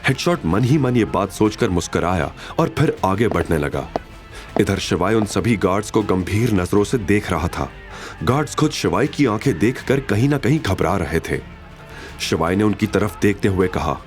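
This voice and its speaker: Male narrator, lowish pitch, animated dramatic storytelling for an audio series, music bed.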